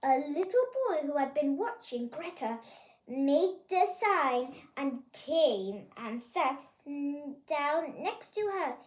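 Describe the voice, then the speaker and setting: A storyteller reading a picture book aloud for children, expressive and pointing things out to young listeners. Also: high voice, takes it slowly, roomy sound. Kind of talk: animated picture-book read-aloud for kids.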